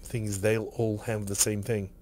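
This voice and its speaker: A man with a husky, hoarse voice from a cough, rambling casually while streaming a game and reading item text aloud. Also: lowish voice, little pitch movement, a little slow, a little mellow, slight background noise, low-quality recording.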